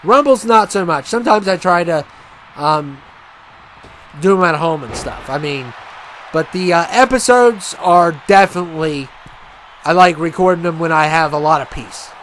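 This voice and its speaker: High-voiced rambling gameplay commentator. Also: male voice, animated, moderate pace, slight background noise. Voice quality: loud voice. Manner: rambling, chatty, with many fillers and restarts. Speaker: gaming commentator.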